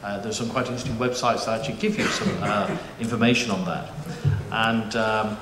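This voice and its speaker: A man's voice: fairly low pitch, fairly even intonation, fairly quick. Middle-aged guy, bit aussie, moderating a panel, lots of ums and restarts, echoey hall, faint room hum. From United Kingdom. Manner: chatty, jokey, frequent restarts and fillers. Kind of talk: conference presentation. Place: conference auditorium.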